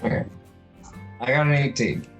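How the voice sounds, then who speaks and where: A man's voice: medium pitch, moderate pace, a bit bright, lo-fi sound, faint music behind. A man playing a tabletop role-playing game, chatting casually and playfully.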